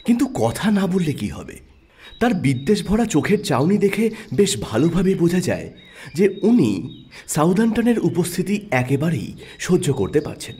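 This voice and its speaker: A man narrating an audio story in an expressive storytelling manner. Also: fairly high pitch, a little slow, husky. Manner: expressive, rising and falling storytelling.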